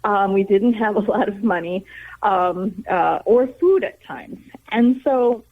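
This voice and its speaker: A thin, phone-quality line carries an American woman of middle age with a medium-pitched voice. She speaks as an interview guest, telling personal stories in an animated, conversational way. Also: slow. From Toledo, Ohio, United States. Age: middle-aged. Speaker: interview guest, theater educator and director. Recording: narrow, tinny phone-like line.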